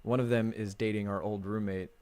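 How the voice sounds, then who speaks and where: Man, podcast host, low pitch, casual chat, chummy, informal. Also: steady tone, brisk, darkish tone, clean tone.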